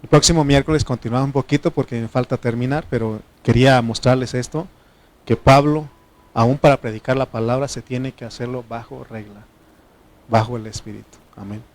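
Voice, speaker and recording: A man's voice: medium pitch, steady tone, brisk, darkish tone. A middle-aged man from Mexico with a Mexican Spanish accent, whose first language is Triqui, preaching a folksy sermon and asking the congregation for responses. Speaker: pastor.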